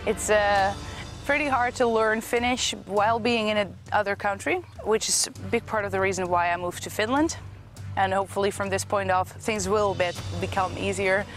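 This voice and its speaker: Dutch woman chatting warmly about her move. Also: medium pitch, normal speed, over music. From Netherlands. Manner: thoughtful, friendly, searches for words. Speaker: band vocalist. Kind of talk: documentary interview.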